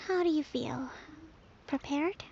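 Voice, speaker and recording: A woman playing a gentle young priestess and strategist in a video game, speaking in a measured, formal, articulate way. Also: sits high, vocal fry, noisy background, heavily acted. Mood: composed, earnest. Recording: muffled game audio under music.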